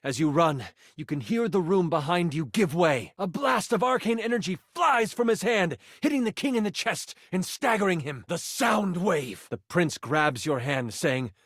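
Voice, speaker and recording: An actor playing an excitable, playful game master in a video game, narrating a fantasy adventure with theatrical suspense. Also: male voice, high voice, moderate pace, few pauses, no room sound, clean studio sound, exaggerated voice. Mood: suspenseful, dramatic. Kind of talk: dramatic tabletop game narration.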